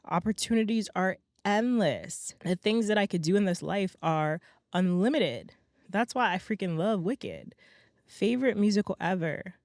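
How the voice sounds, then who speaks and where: A young woman with a slightly hoarse, raspy voice talks straight to her podcast listeners in a chatty, emphatic way. Her pitch sits at an ordinary middle height for a woman. The recording is close and dry, with almost no room sound. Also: animated, moderate pace, a little mellow, no room sound. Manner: chatty, emphatic, peppers talk with "right?" and "okay?". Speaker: podcast host. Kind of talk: casual motivational podcast monologue.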